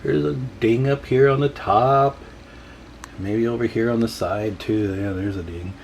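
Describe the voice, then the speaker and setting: A man's voice: mid-range voice, a little slow, gravelly, darkish tone, dull audio, slight background noise. An American man giving a casual, folksy hands-on demonstration as a video host, chatting to viewers as he works. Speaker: radio repair hobbyist and video host.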